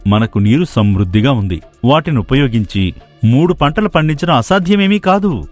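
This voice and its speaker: A man's voice: medium pitch, animated, normal speed, soft background music, natural voice. A man voicing a determined, hardworking young villager in a cartoon moral story, earnest and persuasive. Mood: eager, resolute. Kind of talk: dramatised moral story dialogue.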